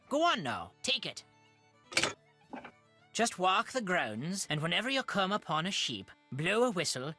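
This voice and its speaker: A woman's voice: lowish voice, pushed and bright, full character voice. An actress voicing a blunt, wry Irish countrywoman who keeps sheep, with folksy, brusque phrasing in a video game. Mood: brisk, instructing. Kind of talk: video game character dialogue.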